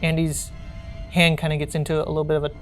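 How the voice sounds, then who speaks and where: Low-voiced woman running a game. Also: completely flat delivery, fast, soft background music. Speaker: game master. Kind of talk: narrating a tabletop role-playing game.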